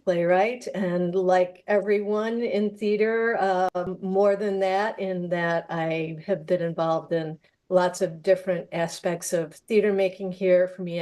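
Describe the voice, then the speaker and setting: A woman's voice: on the low side, dark tone. A woman introducing herself conversationally as a guest on an online panel.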